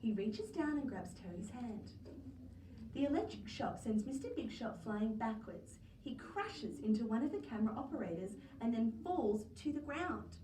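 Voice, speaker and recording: A woman reading a children's story aloud as a teacher, in an animated narrating style. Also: a little high, moderate pace. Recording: roomy, distant mic, faint noise.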